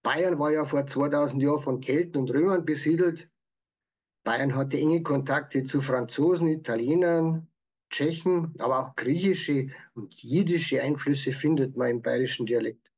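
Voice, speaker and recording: A man's voice: fairly high pitch, moderate pace, darkish tone, breathy edge, highs cut off. A man with a Bavarian accent, explaining things in prepared answers as an author being interviewed. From Bavaria, Germany. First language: Bavarian dialect.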